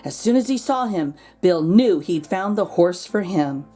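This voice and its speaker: A woman reading a children's picture book aloud as host, expressive, drawing out words for drama. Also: fairly low pitch, a little slow, faint music behind. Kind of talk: animated storybook read-aloud for children.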